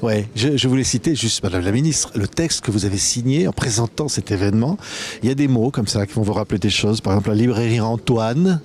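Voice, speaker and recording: A man's voice: lowish voice, fast talker, darkish tone. A man hosting a TV interview, conversational, with fillers as he quotes his guests back to them. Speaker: TV interviewer, host.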